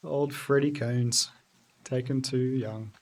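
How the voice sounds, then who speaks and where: A man's voice: mid-range voice, steady tone, slow. A man streaming a video game, chatting casually with viewers and reading their messages aloud. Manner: chatty, reads and answers chat aloud. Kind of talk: casual let's-play commentary.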